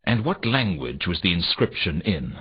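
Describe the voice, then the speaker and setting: A man's voice: low voice, big pitch swings, normal speed, slightly rough, exaggerated voice. A man playing a world-weary, dryly sardonic private detective in a noir video game. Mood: dry, inquisitive. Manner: dry, sardonic. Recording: dull, lo-fi game audio.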